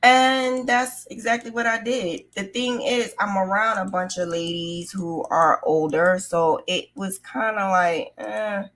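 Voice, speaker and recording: A woman's voice: medium pitch, steady tone, measured pace, hardly any pauses, fairly bright tone. A middle-aged woman telling a personal story in a casual, candid and conversational way. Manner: candid, conversational, acts out remembered dialogue. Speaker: vlogger telling her own story.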